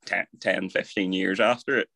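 A man's voice: low-pitched, fairly even intonation, moderate pace, creaky, bright, forceful tone, dry recording. A young man co-hosting a podcast, talking things through with wry, teasing asides. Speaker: podcast co-host. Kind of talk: casual chat.

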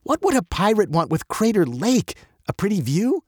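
A man reading aloud and voicing a bold, curious young girl who is quick to argue. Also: high-pitched, sing-song, no echo, clean studio sound, pitched above the performer's own voice.

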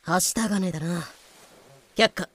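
Male actor as greedy, carefree pirate captain, smug and sharp-toned, audio drama with music bed. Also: high-pitched, a little slow. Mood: haughty. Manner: haughty, flippant.

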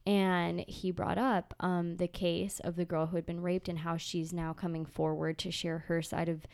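A woman's voice: lowish voice, level tone, normal speed, gravelly, a little mellow, clear, resonant tone. A woman co-hosting a podcast in casual chat, sounding congested and nasal, rambling with many fillers. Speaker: podcast co-host.

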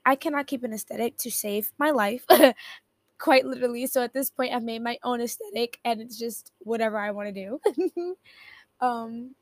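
Raspy-voiced young woman rambling. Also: on the high side, moderate pace, dry recording. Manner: rambling, self-interrupting, giggly. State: raspy just-woken voice. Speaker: podcast host. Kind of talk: casual solo podcast chat.

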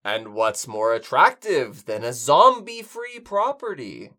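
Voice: medium pitch, very animated, slow, sharp tone, full character voice. A performer playing a pedantic, fussy apocalypse survivor who co-hosts a radio show in a pompous, wordy way. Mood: measured, earnest. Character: pedantic, fussy survivor co-hosting an amateur broadcast. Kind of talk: scripted comedic audio drama, bantering radio show.

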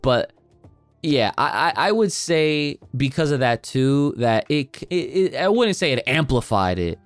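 A man's voice: mid-range voice, sing-song, measured pace, no room sound, soft background music. A man co-hosting a podcast, talking casually with many fillers and restarts. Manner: rambling, lots of fillers and restarts. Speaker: podcast co-host. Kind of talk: casual chat.

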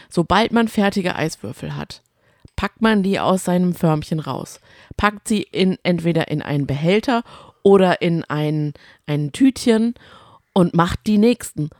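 Low-pitched woman chatting, close dry sound. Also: a little slow, a little mellow, dry recording. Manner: rambling, colloquial storytelling. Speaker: podcast co-host. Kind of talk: casual chat.

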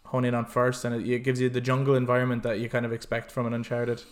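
A man's voice: mid-range voice, monotone, fast talker. A man hosting a podcast in casual, opinionated chat, talking emphatically and swearing freely. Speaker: podcast host.

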